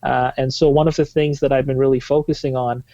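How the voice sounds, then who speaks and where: A man's voice: mid-range voice, little pitch movement, a little fast, warm tone, dry recording, muffled recording. A man in his thirties from Tanzania, answering podcast questions as a marketing guest, fluent but with many "uh" fillers and restarts.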